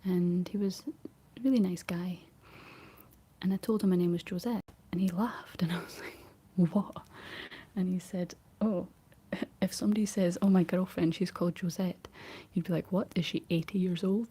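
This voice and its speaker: A Scottish woman whispering a rambling, chatty ASMR talk in a breathy voice, laughing often as she goes. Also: lowish voice, steady tone, moderate pace, mellow. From Scotland. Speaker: ASMR creator.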